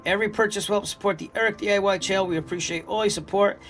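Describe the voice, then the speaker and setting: A man's voice: high voice, steady tone, racing, fairly bright tone. A man giving a casual, plain-spoken product review, explaining things step by step as he talks.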